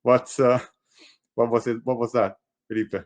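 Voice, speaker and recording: A man's voice: medium pitch, little pitch movement, moderate pace. Hesitant man moderating a panel. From Italy. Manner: hesitant, repeats words, restarts phrases. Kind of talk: online panel discussion.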